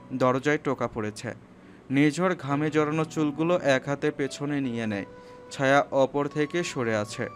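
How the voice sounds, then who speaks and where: A man narrating a scripted romantic story, with music playing underneath. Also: a little high.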